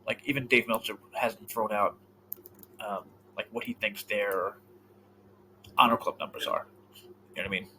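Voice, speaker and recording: A man co-hosting a casual podcast chat, animated and swearing freely, often trailing off mid-thought. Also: on the low side, moderate pace, long pauses, a little hiss or hum. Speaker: podcast co-host.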